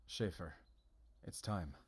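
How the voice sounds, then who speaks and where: Heavy vehicle-like ambient noise surrounds a low voice. It is a man acting a guarded, world-weary werewolf hunter from an audio drama. He talks hesitantly and confidingly, with a tender heart under the reserve. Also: slow. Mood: reserved, awkward.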